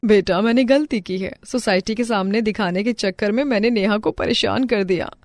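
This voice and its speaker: A woman's voice: mid-range voice, moderate pace, a little mellow, no echo, close to an everyday voice. Lady doing a proud bossy mother-in-law for a family cartoon, mid voice, very dry sound. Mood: weary, regretful. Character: proud, bossy middle-aged mother-in-law, later repentant. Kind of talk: Hindi family moral-story cartoon acting.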